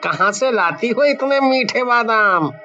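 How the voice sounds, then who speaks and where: A cartoon passer-by played by a man, with a bright, forceful voice. He talks slowly in an exaggerated, deliberate way, with music underneath. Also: very high-pitched, expressive, muffled recording, full character voice. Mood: curious, friendly. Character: curious male passer-by, easily indignant. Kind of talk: Hindi animated story dialogue.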